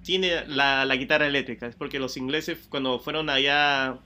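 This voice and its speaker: Joking co-host, bright man. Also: medium pitch, steady tone, moderate pace. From Peru. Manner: joking, rambling. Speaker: radio co-host. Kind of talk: casual radio chat.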